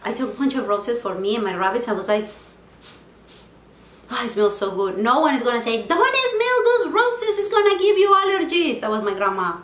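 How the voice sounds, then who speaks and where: A woman's voice: a little high, big pitch swings, normal speed, a bit bright, roomy sound, a little hiss or hum. A young woman with a Latin American Spanish accent, a native Spanish speaker, talking casually and playfully to her viewers about self-care, dropping Spanish words into her English. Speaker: vlogger. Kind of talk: casual self-help talk to camera.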